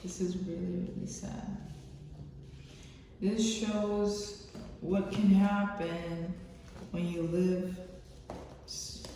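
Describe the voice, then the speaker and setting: A woman host giving an intuitive love reading off the cuff, halting and drawn out, with pauses. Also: on the low side, fairly even intonation, dark tone. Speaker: tarot reader, channel host. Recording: very echoey room, faint background noise.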